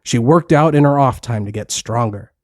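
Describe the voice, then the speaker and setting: A man's voice: medium pitch, moderate pace, darkish tone, soft background music. A man reading a scripted story for children as a narrator, in simple, plain language.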